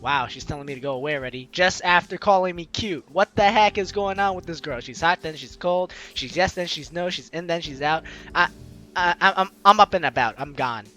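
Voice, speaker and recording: A man's voice: fairly high pitch, fairly quick, bright, forceful tone. A young man hosting a let's play, reading game text aloud with informal, jokey asides. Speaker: host of a gaming let's play series. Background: game music.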